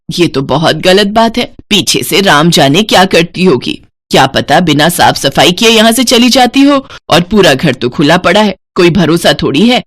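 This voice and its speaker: A woman voicing a suspicious, scolding older mother-in-law from a village in a moral story cartoon. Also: on the low side. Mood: suspicious, disapproving. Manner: scolding, blunt.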